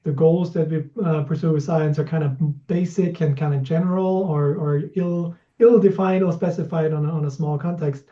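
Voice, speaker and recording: A man asks a long, carefully measured question, softening his points with frequent hedges. His voice is very dark and mellow, and the audio is dull and lo-fi. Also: a little high, steady tone. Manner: measured, hedging with "kind of". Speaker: interviewer, audience member.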